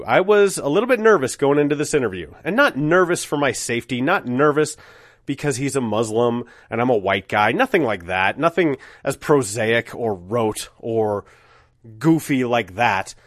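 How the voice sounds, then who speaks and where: A man's voice: mid-range voice, big pitch swings, moderate pace, dry recording, clean studio sound. A middle-aged man with a cold, hosting a podcast and speaking in an earnest, candid, conversational way. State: has a cold. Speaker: podcast host. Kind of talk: casual podcast intro monologue.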